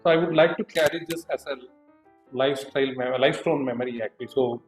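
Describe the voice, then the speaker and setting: A middle-aged man from southern India with a South Indian English accent, reflecting slowly with many fillers and restarts as an interview guest. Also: mid-range voice, roomy sound, faint music behind. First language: not Hindi; a southern Indian language. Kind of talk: unscripted conversational interview.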